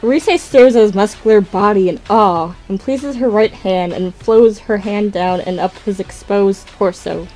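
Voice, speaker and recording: A woman's voice: medium pitch, a little slow, few pauses, darkish tone, faint music behind, poor audio. A young adult woman doing a joking dramatic reading, narrating with irreverent asides. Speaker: co-reader and narrator.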